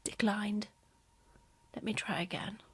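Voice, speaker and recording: A soft-spoken woman doing an ASMR roleplay as a polite, attentive air hostess, often dropping into whispers. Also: mid-range voice, fairly even intonation, slow, slightly rough.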